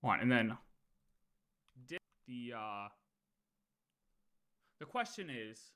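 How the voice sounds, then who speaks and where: A man's voice: mid-range voice, very animated, takes it slowly, pushed and bright, clear, resonant tone. A man doing casual live commentary as a streamer, arguing emphatically and repeating his points. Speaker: livestream host reacting to game lore.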